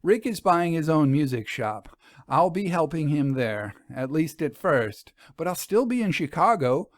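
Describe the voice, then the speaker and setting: A man's voice: a little high, big pitch swings, a little slow, no room sound, pitched above the performer's own voice. A man voicing a loyal, reassuring young woman, a detective's assistant who explains things patiently in an audio storybook. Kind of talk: audio storybook first-person reading.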